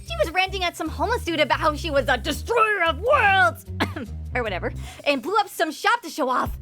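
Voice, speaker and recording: A woman's voice: extremely high pitch, very animated, fairly quick, pushed and bright, faint music behind, exaggerated voice. A woman voicing a brash, chatty young thief in an anime parody, cheeky and breezy, prone to rambling. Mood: excited.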